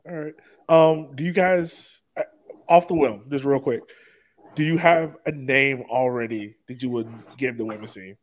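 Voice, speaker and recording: A man's voice: medium pitch, normal speed. An American man hosting a casual podcast, speaking off the cuff in loose, stop-and-start phrases. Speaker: podcast host.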